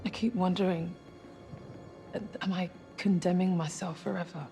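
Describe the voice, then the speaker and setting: An actress playing a troubled, conscientious space crew member in a sci-fi game, speaking earnestly and hesitantly. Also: female voice, on the low side, fairly even intonation, normal speed, lightly acted. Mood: torn, anxious. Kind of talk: cinematic sci-fi game acting. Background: faint cinematic music.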